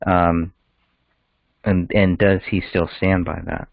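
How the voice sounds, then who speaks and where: A man's voice: sits low, flat delivery, slow, vocal fry, no echo. A man co-hosting a casual discussion of a case over a call, heard through a narrow phone line.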